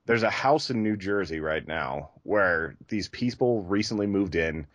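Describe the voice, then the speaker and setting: A man's voice: low-pitched, expressive, unhurried, no echo. A man chatting casually and enthusiastically as a podcast co-host.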